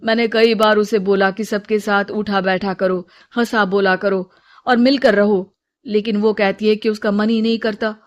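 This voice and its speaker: A woman's voice: medium pitch, fairly even intonation, moderate pace, close to an everyday voice. Cartoon adult son voiced by a woman: frank, earnest, reproachful delivery. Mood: helpless. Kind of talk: acted cartoon story dialogue.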